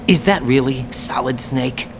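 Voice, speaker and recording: A man's voice: medium pitch, a little slow, background noise, natural voice. An actor playing an earnest, somewhat naive young male special agent in a game cutscene, intent and questioning. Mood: puzzled. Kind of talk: dramatic video game cutscene acting.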